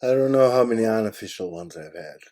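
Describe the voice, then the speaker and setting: An older man with a low, soft and very mellow voice. He tells his stories casually over the phone, in blunt and salty language. Chuckles tend to creep into his way of talking. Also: expressive, fast talker. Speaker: phone call participant recounting his medical history. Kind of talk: casual chat, anecdotal storytelling. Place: phone conversation.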